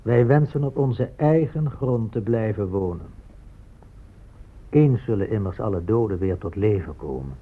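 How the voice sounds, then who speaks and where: Slow, husky, proud warrior. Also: male voice, on the low side, animated. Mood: firm, dignified. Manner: measured, deliberate. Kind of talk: radio drama monologue. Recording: muffled, narrow-band old radio recording.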